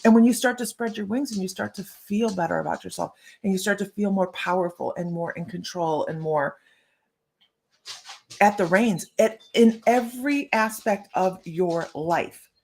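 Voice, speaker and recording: A woman's voice: mid-range voice, creaky. A middle-aged woman hosting a live stream as a psychic medium, chatting to viewers and greeting them by name.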